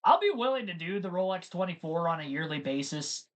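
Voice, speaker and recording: A man's voice: high-pitched, very animated, brisk. A man hosting a live race stream, chatting casually and rambling to his viewers between race updates. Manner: chatty, rambling, talks to chat.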